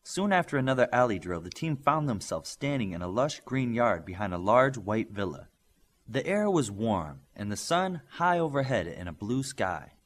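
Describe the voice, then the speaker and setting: Animated man reading an audiobook. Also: mid-range voice, moderate pace. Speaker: audiobook reader. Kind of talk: scripted audiobook narration.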